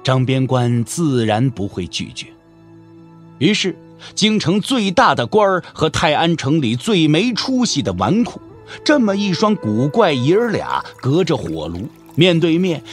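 A man narrating a martial-arts audio drama in a measured, expressive, scripted storytelling style. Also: on the high side. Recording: music under the voice. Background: background music.

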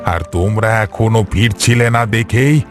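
A man voicing a stern, ominous village caretaker in a horror cartoon, speaking gravely. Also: fairly low pitch, over music, close to an everyday voice. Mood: grave warning. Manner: slow, grave, warning.

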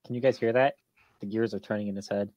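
A man's voice: fairly low pitch, steady tone, fast, darkish tone, no echo, dull audio. A man tossing remarks into casual panel banter.

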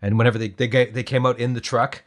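A man's voice: mid-range voice, little pitch movement, fast talker. A man with a Canadian accent co-hosting a podcast, in casual fan banter. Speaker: podcast co-host.

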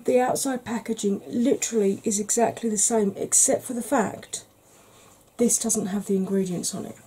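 Soft-spoken woman, mellow husky voice. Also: medium pitch, steady tone, moderate pace, rough recording. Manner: gentle, rambling, chatty. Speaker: beauty YouTuber. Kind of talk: soft-spoken ASMR makeup chat. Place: small home room with a desk fan.

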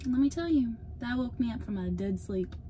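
A woman's voice: on the high side, lively intonation, brisk, mellow, a little hiss or hum. A young woman chatting upbeat to a phone camera held at arm's length in a parked car. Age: young adult. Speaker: vlog co-host. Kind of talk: casual vlog talk.

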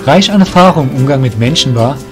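A man's voice: mid-range voice, expressive, fast talker, music in the background. A man reading scripted narration for a fantasy audio drama.

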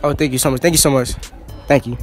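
A man's voice: mid-range voice, steady tone, quick pace, faint music behind. A teenage boy hosting casual school hallway interviews, joking and teasing in slang, holding a phone as a mic. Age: teens. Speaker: student interviewer, channel host. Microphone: handheld phone mic.

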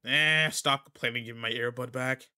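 A man's voice: mid-range voice, fairly even intonation, normal speed, sharp tone, smooth. A man playing a cocky, irritable teenage delinquent in a roleplay audio drama, talking casually and teasingly straight to the listener. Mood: irritated, teasing.

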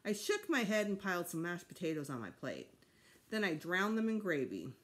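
A middle-aged woman reading a children's novel aloud in an expressive storytime style. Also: lowish voice, moderate pace. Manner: expressive, acts out dialogue. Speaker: storytime reader. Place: small home room, seated indoors.

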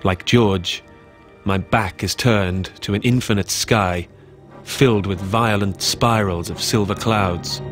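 A man playing a lonely, self-mocking artist, reading his letters aloud in an introspective, measured way for an audiobook. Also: on the low side, steady tone, over music. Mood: wry, brooding.

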